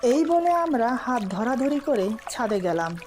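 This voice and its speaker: A woman's voice: on the high side, big pitch swings, measured pace, darkish tone, a little hiss or hum. A woman reading a scripted romantic story as its narrator.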